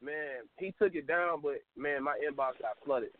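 A man's voice: a little high, moderate pace. A man in his twenties calling in to a talk show, telling loose, rambling stories and laughing often. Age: 20s. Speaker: caller. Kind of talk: casual call-in chat. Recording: phone-line audio.

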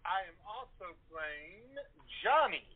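A man giving a casual interview with a halting delivery and some chuckles, over a tinny telephone line. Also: high-pitched, expressive, breathy edge. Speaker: writer and actor, interview guest.

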